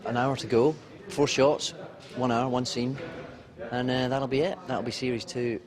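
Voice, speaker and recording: A man's voice: medium pitch, little pitch movement, moderate pace, crowd noise. A man speaking wryly and reflectively in an interview.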